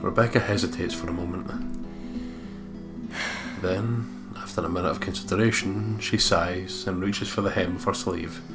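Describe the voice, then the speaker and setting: Guy with a lowish voice reading a visual novel out loud n chatting, guitar music under him. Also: fairly even intonation, normal speed. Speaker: let's play gamer. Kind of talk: reading game narration aloud with casual commentary. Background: game soundtrack with guitar.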